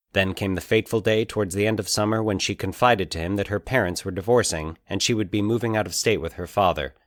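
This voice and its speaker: A man's voice: deep voice, a bit bright, dry recording, studio quality. A man reading scripted fiction as the narrator of a story.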